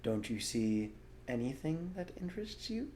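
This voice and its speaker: A man voicing a fatherly male fox leader, kindly and hushed. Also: medium pitch, very animated, moderate pace, slight background noise, lightly acted.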